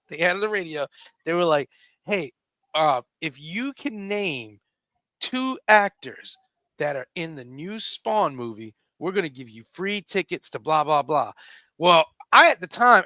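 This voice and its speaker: High bright-voiced radio guy in his 40s, dry studio sound, acts out the quotes in his stories. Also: no room sound. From South Carolina, US. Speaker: radio show host. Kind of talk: casual comedy radio banter.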